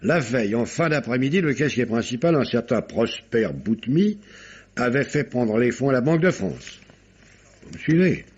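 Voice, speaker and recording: Husky, formal magistrate. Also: male voice, medium pitch, lively intonation. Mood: courteous, expository. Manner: formal, measured storytelling with dramatic emphasis. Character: courteous, fair-minded 19th-century male examining magistrate. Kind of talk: classic French radio drama acting. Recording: dull, lo-fi old radio recording.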